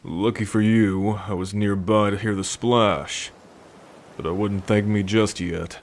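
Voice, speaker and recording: A man's voice: on the low side, fairly even intonation. A man playing a wary, curious merman in an ASMR roleplay, speaking hesitantly and full of questions to the listener. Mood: cautious relief. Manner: slow, hesitant, questioning delivery. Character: wary, curious young merman, gruff rescuer.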